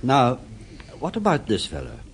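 An actor playing a genial, businesslike British knight who investigates, in a radio drama. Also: male voice, mid-range voice, wildly swooping pitch, unhurried.

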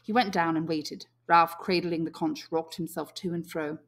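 A woman's voice: low voice, steady tone, normal speed. A woman reading a novel aloud as scripted audiobook narration. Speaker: English teacher, audiobook reader.

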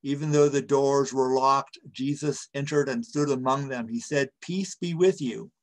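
A man in his sixties preaching a reflective sermon as a pastor, speaking deliberately in short phrases with pauses, into a computer microphone in a small room. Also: medium pitch, steady tone. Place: small room at home, indoors. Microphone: computer webcam or video call microphone.

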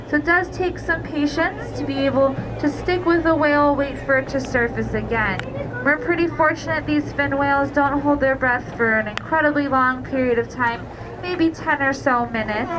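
A woman naturalist calmly explaining whale facts to passengers on a tour boat. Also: high-pitched. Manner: calm, explanatory. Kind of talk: educational tour commentary. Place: outdoors on a moving boat at sea. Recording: loud boat engine and wind noise.

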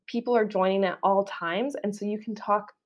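A woman's voice: medium pitch, fairly even intonation, moderate pace, dull audio. Chatty Canadian woman podcaster. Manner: casual, chatty, lots of fillers like "like" and "um". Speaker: podcast host. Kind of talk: solo podcast monologue.

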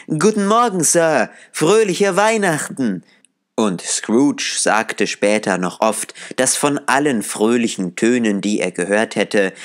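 Männlicher Hörbuchsprecher, tief und bedächtig. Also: male voice, fairly low pitch. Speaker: audiobook narrator. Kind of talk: scripted narration.

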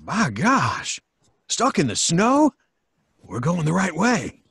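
A man's voice: sits high, huge pitch swings, slow, creaky, fairly bright tone, husky, dry recording, studio quality, full character voice. A man playing a fearful, superstitious rustic townsman who stammers, in an old-time radio horror play. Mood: uneasy. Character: nervous, superstitious rustic townsman, reluctant sidekick.

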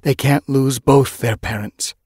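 A man's voice: medium pitch, steady tone, a little mellow, husky, no echo, studio quality, voice pushed up. Man voicing a stern old female medicine cat. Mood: brisk, insistent. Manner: measured, admonishing.

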